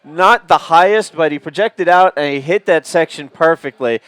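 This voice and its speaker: Booming-voiced male commentator. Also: moderate pace, fairly bright tone, smooth, no echo. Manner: booming voice, joking, explains details. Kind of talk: live sports commentary.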